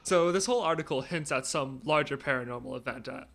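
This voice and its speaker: A man with a slightly high voice hosts a podcast. He talks in a casual, conversational way, responding to his guests. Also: quick pace, creaky, fairly bright tone, slight background noise. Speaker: podcast host. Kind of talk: casual chat.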